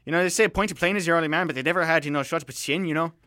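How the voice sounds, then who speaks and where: A man's voice: a little high, racing, pushed and bright, dry recording, studio quality. A man voicing a comic, chatty Irish pub storyteller with a put-on Irish accent, rambling and repeating himself colloquially. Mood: playful rambling.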